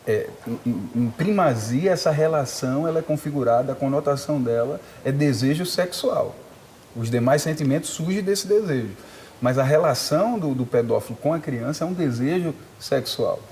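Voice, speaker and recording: Mellow-voiced Northeastern Brazilian man. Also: mid-range voice, animated, a little hiss or hum. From Pernambuco, Brazil. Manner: measured explanation with frequent filler tags. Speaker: psychologist guest. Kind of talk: studio talk show discussion. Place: TV studio.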